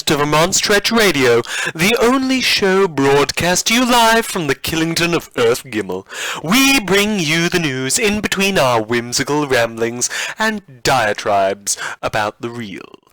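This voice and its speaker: A man's voice: a little high, very animated, unhurried, pushed and bright, no echo, exaggerated voice, rough recording. A man playing a sardonic, whimsical radio news host and self-confessed killer in a fiction podcast, with an arch, theatrical, dryly ironic delivery. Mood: wry, whimsical.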